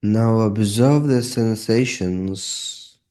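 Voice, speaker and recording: Very slow, low-voiced male meditation guide. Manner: calm, measured, long pauses between short phrases.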